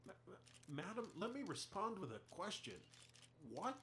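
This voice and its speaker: A man's voice: high-pitched, sing-song, moderate pace, sharp tone, full character voice. An actor playing an earnest, challenging news reporter in an audio play, pressing his questions. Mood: flustered.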